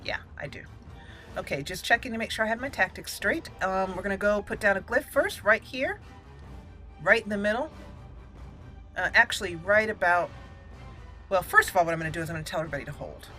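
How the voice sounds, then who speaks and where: Bright-voiced woman playing a game. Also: medium pitch, lively intonation, brisk, long pauses, faint music behind. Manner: thinks aloud, repeats words. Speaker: gaming channel host. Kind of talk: casual let's play commentary.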